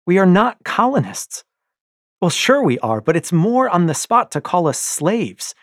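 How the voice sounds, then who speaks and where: A fierce young rebel wife, put on by a male reader, speaks in a raised, swooping voice with a breathy edge. She pleads and pushes with urgent passion, and the delivery stays slow and deliberate. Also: high voice, very animated, no echo, clean studio sound, pitched above the performer's own voice, full character voice. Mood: impassioned.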